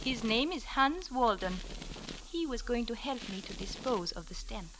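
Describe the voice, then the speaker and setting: Woman acting scheming femme fatale, animated pitch. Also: moderate pace, close to an everyday voice. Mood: smug, guarded. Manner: taunting, theatrical, poised. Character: scheming, self-assured femme fatale in her twenties. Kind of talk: 1940s radio drama acting. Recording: noisy, hissy vintage radio recording.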